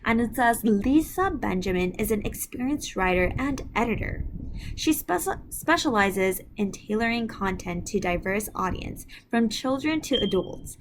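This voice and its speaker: A woman's voice: fairly high pitch, animated, moderate pace, a little hiss or hum, low-quality recording. A woman teacher leading a read-aloud reading lesson for children, explaining things step by step in an instructive way. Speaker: elementary school teacher.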